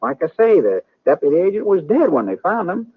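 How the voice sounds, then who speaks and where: An actor playing a talkative, friendly small-town blacksmith in an old radio western, with a husky voice and a rural Western American drawl. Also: male voice, high voice, sing-song, fairly quick, dry recording, exaggerated voice. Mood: gossipy. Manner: folksy, garrulous, drawling. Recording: dry, muffled old radio recording.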